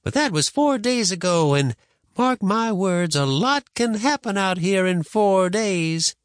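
A man acts out a cantankerous old grandmother on the frontier in a storybook. The voice is pitched well up and very animated, grumbling and scolding in plain folksy country speech, recorded dry and close. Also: unhurried, clean tone, no room sound. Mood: wary, emphatic. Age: older. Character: cranky, stubborn old frontier grandmother with a cane.

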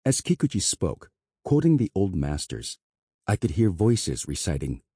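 Low-voiced guy narrating an audiobook, kinda husky, lively pitch but steady pace. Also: no echo, studio quality. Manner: measured, even reading.

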